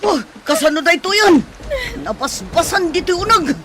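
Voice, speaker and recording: A woman's voice: very high voice, big pitch swings, moderate pace. A woman playing a worried, exclaiming companion caught in a storm in a Philippine radio drama. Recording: faint storm-like noise.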